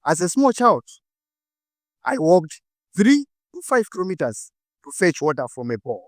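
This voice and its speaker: A young man with a Malawian English accent speaks in a fairly high voice, breaking his lines into short, weighty phrases with deliberate pauses. The sound is close and dry, with no room echo. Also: sing-song. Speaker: speaker giving a stage talk. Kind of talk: rehearsed inspirational talk. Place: stage.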